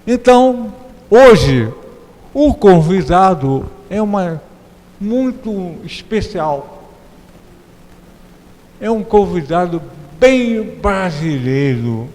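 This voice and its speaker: A man with a Brazilian accent and a notably high voice hosts a conversational interview. He speaks slowly and playfully, with emphatic, drawn-out phrases. The sound is very reverberant, like a large hall. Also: very animated, darkish tone. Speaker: talk show host. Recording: reverberant hall, clear background noise.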